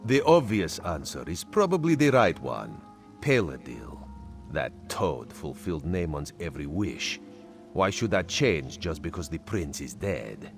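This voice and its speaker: An actor playing a sly, genial cat-folk agent in a video game, speaking in a measured, theatrical way. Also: male voice, extremely low pitch, heavily acted. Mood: grave, suspicious. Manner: speaks of himself in third person, measured and theatrical. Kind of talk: video game character dialogue.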